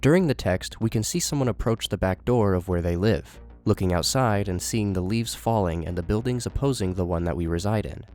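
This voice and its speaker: Low-voiced man narrating over music. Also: brisk, hardly any pauses, darkish tone. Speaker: horror series commentator. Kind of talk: scripted narration and commentary.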